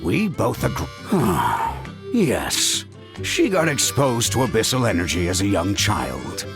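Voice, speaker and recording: A man playing a gruff, stern middle-aged uncle in a video game, gravelly, blunt and grumbling, protective and exasperated. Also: fairly low pitch, huge pitch swings, slow pace, exaggerated voice. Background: music.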